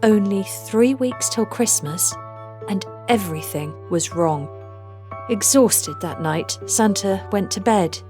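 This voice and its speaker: A woman narrating a children's storybook aloud, with deliberate, rhythmic delivery of rhymed phrases. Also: medium pitch, animated, over music. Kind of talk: scripted storybook read-aloud.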